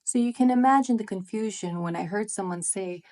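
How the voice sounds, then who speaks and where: A woman's voice: mid-range voice, fairly quick, a little mellow. A woman talking to her viewers in a friendly, explanatory way and often asking rhetorical questions. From United States. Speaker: vlogger. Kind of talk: scripted talk to camera.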